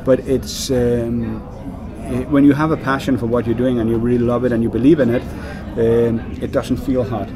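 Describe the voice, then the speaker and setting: A man's voice: mid-range voice, flat delivery, normal speed, a crowd in the background. A Danish man working as a whisky brand ambassador, speaking English in a casual interview, with many fillers and repeated words. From Denmark.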